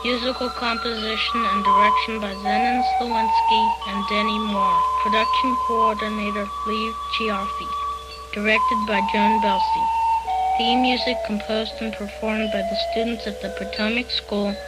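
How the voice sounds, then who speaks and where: Even-toned woman announcer over loud music. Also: mid-range voice, normal speed, rough recording. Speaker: radio announcer. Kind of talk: scripted credits announcement. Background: loud theme music.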